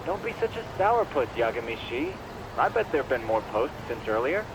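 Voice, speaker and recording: A man's voice: mid-range voice, very animated, normal speed, noisy background, natural voice. A man playing an eager, self-satisfied tech geek in a video game dub. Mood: smug, eager. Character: eager, geeky male tech-savvy informant.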